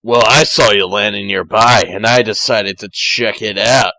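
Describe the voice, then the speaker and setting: A gruff, watchful male cartoon echidna, played by a man. He speaks low and slowly, in a solemn, halting way suited to an amateur fan fiction story. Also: pushed and bright, no echo, heavily acted, rough recording. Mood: questioning.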